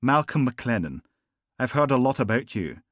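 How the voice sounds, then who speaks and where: A man voicing a smooth, smiling gangster boss with an Edinburgh accent, genteel and falsely courteous. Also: mid-range voice, big pitch swings, normal speed, no echo, studio quality, voice pushed up, natural voice. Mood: polite. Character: smooth, smiling male gangster boss, middle-aged, menacing. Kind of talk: audiobook character voice.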